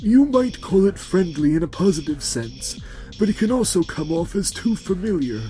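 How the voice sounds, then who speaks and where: Man as a frank, high-voiced, mellow male patient. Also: big pitch swings, moderate pace, faint music behind, rough recording. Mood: critical, frank. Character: particular, frank male VIP patient, politely critical. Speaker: voice actor in a manga dub. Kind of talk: animated romantic-comedy dub acting.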